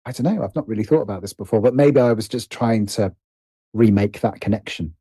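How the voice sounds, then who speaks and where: A man's voice: lowish voice, a little fast, mellow, husky, dry recording, clean studio sound. A British man telling personal stories conversationally as an interview guest, hesitant and often interrupting himself as he thinks aloud.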